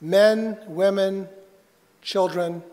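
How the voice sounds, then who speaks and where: A man preaching a sermon as a pastor, telling a personal story earnestly and haltingly, with dramatic pauses. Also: high-pitched, fairly even intonation, darkish tone. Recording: reverberant hall.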